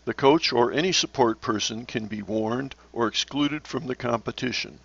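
Gravelly man narrating a lesson. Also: mid-range voice, fairly bright tone, lo-fi sound. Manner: measured, instructional, poses questions to the learner. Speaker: sailing instructor. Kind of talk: scripted slide narration.

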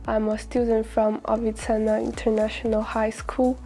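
A woman's voice: fairly high pitch, monotone, normal speed, dark tone, soft background music. A teenage girl from China giving a careful, prepared self-introduction as a student, recorded on a lapel mic on a studio set. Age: teens.

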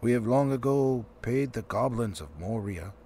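Man reading as solemn old dwarf leader, swooping pitch. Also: animated, moderate pace, mellow, husky. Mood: indignant. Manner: solemn, formal. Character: grave, proud old dwarf leader telling of lost treasure. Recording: faint background noise.

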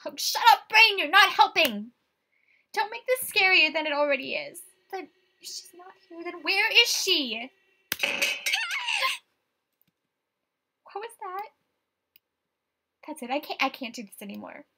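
A woman's voice: extremely high pitch, lively intonation, normal speed, sharp tone, slight background noise, voice pushed up, exaggerated voice. A woman voicing a frightened, superstitious young estate agent in a horror game, with a dramatic, tremulous delivery. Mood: panicked, agitated. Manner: dramatic, tremulous, breathy asides, outbursts. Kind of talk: horror visual novel voice acting.

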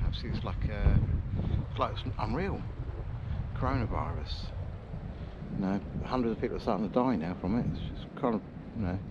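Casting: middle-aged male, informal and matey, off-the-cuff outdoor vlog commentary, clearly noisy action-camera sound. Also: fairly low pitch, highs cut off. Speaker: metal detecting vlogger. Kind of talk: casual vlog chat and commentary. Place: open field outdoors.

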